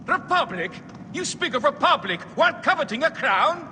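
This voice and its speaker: An actor playing a defiant, accusing Roman senator with a forceful, challenging manner in a video game cutscene. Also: male voice, very high-pitched, sing-song, moderate pace, full character voice. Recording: reverberant hall, faint background noise.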